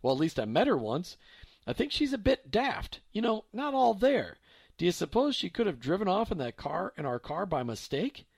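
A man's voice: high-pitched, big pitch swings, moderate pace, vocal fry, breathy edge, no echo, clean studio sound, pitched above the performer's own voice, exaggerated voice. A man voicing a polite, patient father who keeps trying to explain a mix-up. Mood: musing. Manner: earnest, polite, repeats himself. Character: patient middle-aged father, baffled but polite.

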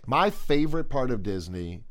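A man in his forties with a deep voice, chatting casually as a podcast guest and telling animated stories with jokey asides. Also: moderate pace, no room sound. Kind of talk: casual chat.

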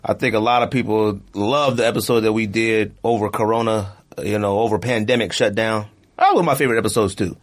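A man hosting a podcast in casual, animated banter, often repeating himself for emphasis. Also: lowish voice, fast talker. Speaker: podcast host.

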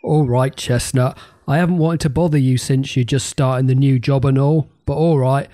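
A man's voice: medium pitch, fairly even intonation, moderate pace, mellow, no echo, close to an everyday voice. An actor playing a jokey, playful cockney man in wartime London. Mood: cheerful. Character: cheeky cockney wartime man, mysterious boyfriend.

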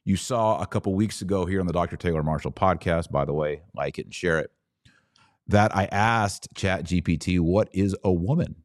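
Deep-voiced American man, close dry sound. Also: normal speed, dry recording. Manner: emphatic, stretching key words, with conversational asides. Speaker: podcast host. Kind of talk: religious commentary podcast, speaking off the cuff to listeners.

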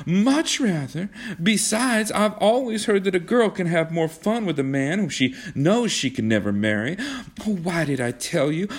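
A man's voice: high-pitched, creaky, pitched above the performer's own voice, full character voice. A man voicing a passionate, petulant young rich girl in a storybook reading, impulsive and protesting. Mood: airy, regretful. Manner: protesting, impulsive, stammering when flustered.

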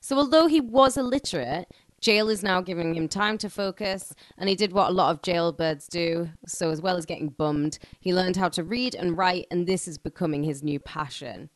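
A woman's voice: fairly low pitch, moderate pace, no echo. A woman hosting a podcast, reading scripted true-crime narration and breaking into slangy, irreverent, joking banter. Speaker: podcast host.

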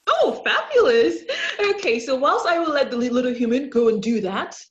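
A woman's voice: high-pitched, expressive, normal speed. A woman hosting a casual interview podcast in a chatty, effusive way, on a somewhat lo-fi recording. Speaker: podcast host. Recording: dull, lo-fi sound.

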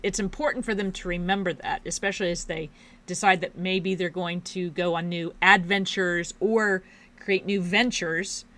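Bright-voiced woman hosting a podcast, upbeat, cheering the guest on. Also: fairly low pitch, fairly even intonation, normal speed. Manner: upbeat, encouraging. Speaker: podcast host. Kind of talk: podcast interview.

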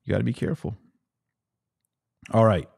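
American guy doing a laid-back livestream q&a, lowish voice, close dry sound, slangy. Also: moderate pace, creaky, darkish tone, slightly rough, dry recording. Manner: informal, playful slang. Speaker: podcast host. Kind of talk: casual livestream chat answering viewer comments.

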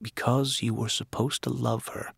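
A man voicing a calm, wise older father. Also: mid-range voice, normal speed, vocal fry, slightly rough, dry recording, clean studio sound, heavily acted. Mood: gentle. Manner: quiet, steady.

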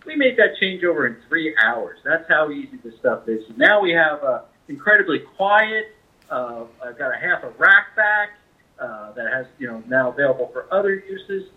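A man speaking as a company representative, giving a conversational product pitch as an interview guest over a phone line. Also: big pitch swings, normal speed. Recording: tinny narrow-band phone line.

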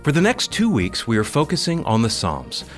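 A man's voice: medium pitch, wildly swooping pitch, moderate pace, soft background music. A man introducing a radio program as its announcer, reading a scripted intro. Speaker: radio show announcer and co-host.

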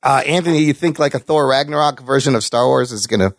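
A man's voice: mid-range voice, quick pace, dry recording, clean studio sound. A man hosting a casual entertainment news chat, talking like an enthusiastic, sweary fan. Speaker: podcast host.

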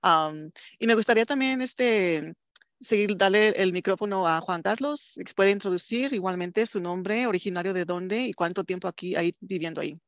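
A woman's voice: mid-range voice, normal speed, dry recording. A woman hosting a community radio interview, effusive and congratulatory in manner. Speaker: radio host. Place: recorded over a video call.

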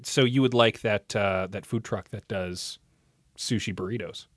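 A man's voice: fairly low pitch, measured pace, a bit bright, no room sound, studio quality. A man co-hosting a podcast in casual comedic banter, with a wry, wisecracking manner. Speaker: podcast co-host.

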